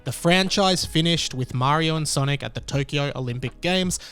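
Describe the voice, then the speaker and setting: Guy with a slightly high voice doing chatty opinionated video commentary. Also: normal speed, fairly bright tone, smooth. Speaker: video host. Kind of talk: casual commentary to viewers.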